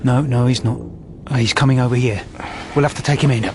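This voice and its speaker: A British actor playing a measured, fair-minded detective superintendent in a naturalistic radio drama. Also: male voice, mid-range voice, steady tone, natural voice. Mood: wary, calm. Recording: faint background noise.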